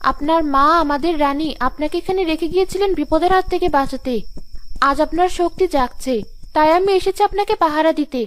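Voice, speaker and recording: A woman as a high-voiced solemn fairy guardian. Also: brisk. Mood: solemn, respectful. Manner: formal, deferential. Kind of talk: cartoon fairy tale acting.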